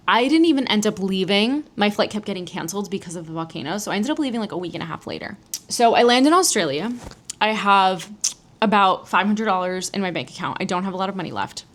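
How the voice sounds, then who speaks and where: An American woman from New Jersey telling a personal story as a solo podcast host, in a chatty, candid, casual way with some swearing. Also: mid-range voice. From New Jersey, United States.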